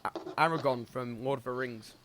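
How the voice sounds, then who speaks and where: A man's voice: medium pitch, fairly quick, crowd noise. A man co-hosting a podcast in casual chat on an online call, blunt and teasing, stumbling into stories. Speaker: podcast co-host.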